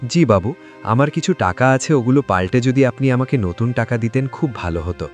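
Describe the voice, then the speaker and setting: A man's voice: mid-range voice, expressive, a little fast, darkish tone, faint music behind, natural voice. A man playing a poor, hardworking village father, earnest, meek and polite, in a moral-story cartoon. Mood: polite, flustered.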